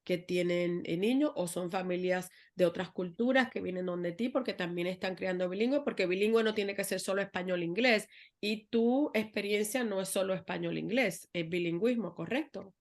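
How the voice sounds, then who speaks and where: A woman's voice: mid-range voice, moderate pace, a little mellow. A woman hosting a podcast interview, talking in a friendly way and checking in with her guest. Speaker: podcast host. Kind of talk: conversational interview.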